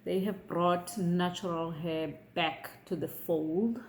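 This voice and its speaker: A middle-aged woman shares her opinions in a casual vlog. Her delivery is unhurried and deliberate, and she keeps pausing to check that her viewers are following her. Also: on the low side, steady tone, a little hiss or hum. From South Africa. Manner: measured, repeats "okay?" to check in with viewers. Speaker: vlogger.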